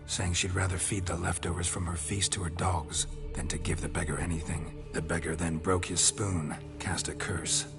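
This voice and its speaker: A laconic, world-weary monster hunter in a fantasy game. The voice sits low and delivers clipped, deadpan lines, with music clearly playing underneath. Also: male voice. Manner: terse, clipped, dry deadpan. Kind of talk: video game character acting.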